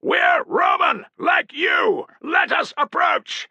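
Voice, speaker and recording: A man voicing a tough, angry Roman veteran legionary who snarls and shouts curses. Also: lowish voice, normal speed, no room sound, muffled recording. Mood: shouting. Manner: snarling, shouting, foul-mouthed.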